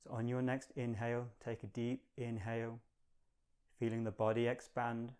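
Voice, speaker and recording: Smooth, even-toned male meditation guide. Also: medium pitch. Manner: calm, measured, gentle. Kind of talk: guided meditation, slow and soothing instruction.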